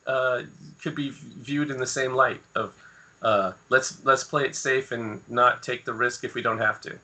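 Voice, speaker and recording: A man's voice: mid-range voice, fairly even intonation, measured pace, sharp tone. A man co-hosting a podcast, talking through his views in casual discussion. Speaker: podcast co-host.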